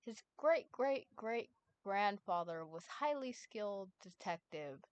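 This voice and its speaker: A woman reading as a calm all-knowing time ghost. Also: mid-range voice, takes it slowly, clean tone. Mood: explaining.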